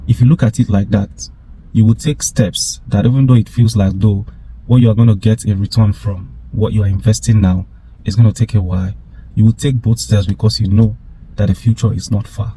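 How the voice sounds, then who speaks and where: A man's voice: lowish voice, warm tone, slight background noise, low-quality recording. A man, giving an earnest, encouraging faith-based motivational talk. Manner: earnest, encouraging, acts out imagined doubts. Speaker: motivational speaker.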